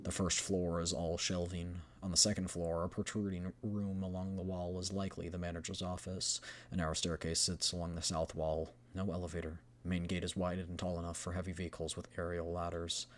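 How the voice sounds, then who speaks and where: A man's voice: sits low, monotone, a little fast, slight background noise. A man streaming a game, reading the story aloud and chatting casually as he thinks aloud. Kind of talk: game readthrough with casual commentary.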